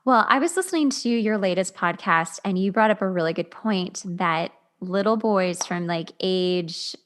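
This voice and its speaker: A woman's voice: fairly low pitch, moderate pace, a bit bright. A woman hosting a podcast in casual conversation, agreeable and informal, qualifying her points as she goes. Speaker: podcast host. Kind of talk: casual conversational interview talk.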